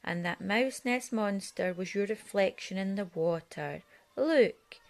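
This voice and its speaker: A woman voicing a calm, kindly grown-up male mouse in a children's picture book, patient and reassuring. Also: mid-range voice, unhurried, close to an everyday voice.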